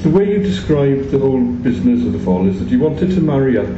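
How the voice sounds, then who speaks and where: A man's voice: medium pitch, lively intonation, fast talker. A man interviewing a guest, hesitant, with many restarts and fillers. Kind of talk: radio-style interview. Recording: echoey, distant, muffled, loud room noise.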